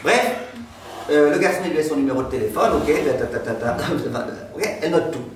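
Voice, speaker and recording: Animated rabbi teaching in an echoey room. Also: male voice, on the high side, a little fast, distant mic, a little hiss or hum. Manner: animated, gestures broadly, jokes with the class. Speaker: rabbi teaching a Torah class. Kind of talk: lively storytelling lesson. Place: classroom with a decorated board, indoors. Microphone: far camera.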